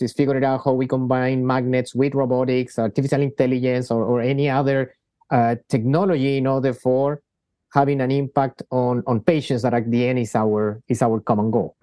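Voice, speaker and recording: A man from Chile speaks, answering interview questions as a surgeon and founder. His voice is mellow and smooth. He often stumbles, restarting and repeating words, and the sound is dry and close. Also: medium pitch, little pitch movement, moderate pace, no room sound. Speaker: guest surgeon and company founder.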